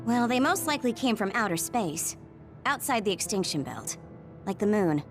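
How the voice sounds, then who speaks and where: A woman's voice: mid-range voice, big pitch swings, normal speed. A woman playing a laid-back, easygoing young slacker in an English anime game dub. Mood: casual speculation. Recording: music behind the voice.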